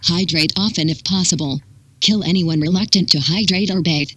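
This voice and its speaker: A synthetic text-to-speech voice reading a scripted public warning in an impersonal, measured manner with clipped instructions. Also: mid-range voice, a bit bright, husky, no echo. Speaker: emergency broadcast announcer.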